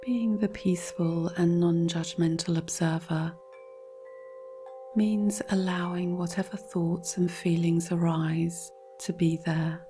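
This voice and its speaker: Slow, soft woman guiding relaxation. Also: lowish voice, soft background music. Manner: calm, measured, instructional. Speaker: guided meditation narrator. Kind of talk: scripted guided relaxation narration.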